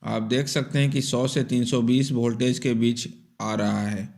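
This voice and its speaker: A man's voice: mid-range voice, steady tone, moderate pace, creaky, a little mellow, a little hiss or hum. A man giving step-by-step instructional narration for an electronics repair tutorial in a methodical, explanatory manner.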